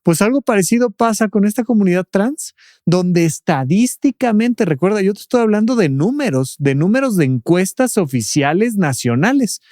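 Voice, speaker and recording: A man's voice: high voice, lively intonation, normal speed, darkish tone, no echo. A man who speaks as a doctor and expert, explaining patiently and tagging his points with rhetorical questions in a podcast studio.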